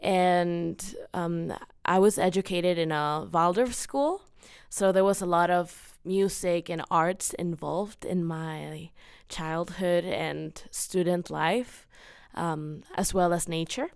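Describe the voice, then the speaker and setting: A woman's voice: lowish voice, steady tone, slow pace, gravelly, clear, resonant tone, no room sound, studio quality. A woman in her late teens from Mexico, giving enthusiastic, earnest, casual answers as an interview guest. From Cancun, Mexico. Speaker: podcast guest, student singer-songwriter.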